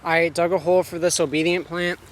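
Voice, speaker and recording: A man's voice: a little high, level tone, brisk. A man casually vlogging outdoors in a home garden, filming himself with a handheld camera and rambling with lots of fillers. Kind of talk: casual self-filmed vlog. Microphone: handheld self-filming camera.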